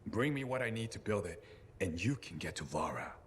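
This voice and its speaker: A smug, eccentric male scientist held captive, speaks low with very lively, swooping intonation. He mocks and patronizes like a showman, in a game scene with faint noise behind him. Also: fairly quick. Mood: slyly persuasive. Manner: mocking, patronizing, theatrical. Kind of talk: video game cutscene acting.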